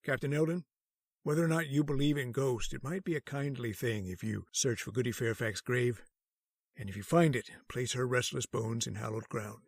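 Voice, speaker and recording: An actor playing a solemn storyteller who recounts an old tale. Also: male voice, mid-range voice, vocal fry, dry recording. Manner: grave, measured.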